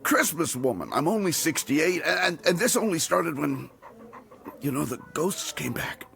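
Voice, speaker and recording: An actor playing a stubborn, fearful man in his late sixties, gruff and halting, in an audio drama. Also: fairly high pitch, lively intonation, fairly bright tone, full character voice. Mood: defensive. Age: 60s. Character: stubborn, fearful 68-year-old rural man, haunted and prickly.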